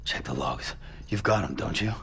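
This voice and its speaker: An actor playing a gruff, guarded, weary male loner in a video game, terse and muttering, with a gravelly voice. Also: a bass voice, lively intonation, normal speed, a bit bright, heavily acted. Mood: insistent. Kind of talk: video game cutscene acting. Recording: faint music under the voice.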